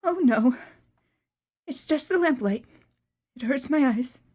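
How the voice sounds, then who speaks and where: A woman playing a grieving mother who speaks gently, hiding her tears. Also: sits high, lively intonation, slow, heavily acted. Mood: sorrowful. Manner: gentle, restrained. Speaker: Mrs. Cratchit.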